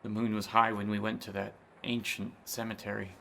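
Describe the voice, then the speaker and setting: An actor in a horror radio drama playing a timid, anxious scholar with nervous, old-fashioned formal speech. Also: male voice, on the low side, flat delivery, brisk, gravelly, bright, forceful tone, slight background noise. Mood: haunted.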